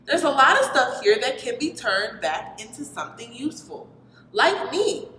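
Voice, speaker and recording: Woman voicing proud male talking newspaper, children's story, brash, expressive pitch, reverberant hall. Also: moderate pace, heavily acted. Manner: punchy, boastful. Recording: reverberant hall with background noise.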